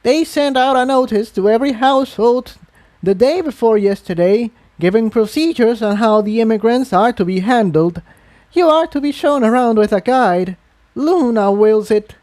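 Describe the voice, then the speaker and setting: A man voicing a brisk, insistent elderly female pony in a fantasy story reading, speaking matter-of-factly. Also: very high-pitched, a little mellow, voice pushed up. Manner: exclaiming, matter-of-fact, addresses listener as dear.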